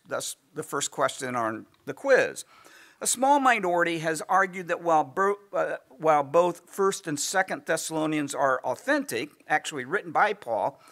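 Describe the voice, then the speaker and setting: A man teaching a Bible lesson in an easygoing lecture style, losing his voice and often clearing his throat. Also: a little high, pushed and bright. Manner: conversational, thinking aloud with asides. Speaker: pastor teaching a Bible class.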